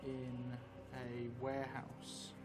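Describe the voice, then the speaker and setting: A man in his forties, narrating a solo role-playing game and thinking aloud in a musing, halting, muttering way. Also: medium pitch, monotone. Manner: musing, halting, drifting into muttering while writing. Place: indoor theatre stream set, seated at a table. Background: background music.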